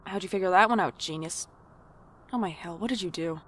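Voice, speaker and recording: A woman's voice: lowish voice, fairly quick, a bit bright, husky. A woman playing an anxious, sarcastic young shop worker in an audio drama, with wry, exasperated narration and dialogue. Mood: exasperated, startled. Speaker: podcast drama lead and narrator.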